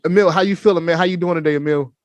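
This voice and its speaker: A man's voice: a little high, fairly even intonation, rapid-fire, clear, resonant tone, no echo. A man hosting a podcast from his home studio, talking with hyped energy and repeating himself as he calls his listeners family. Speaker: podcast host. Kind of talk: casual interview chat.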